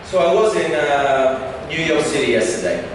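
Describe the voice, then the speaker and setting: A man's voice: fairly high pitch, animated, normal speed. Chatty male comic in echoing hall. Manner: chatty, joking, full of fillers and asides to the audience. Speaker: stand-up comedian. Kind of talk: stand-up with crowd work. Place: comedy club stage. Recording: echoey hall, distant mic, noise.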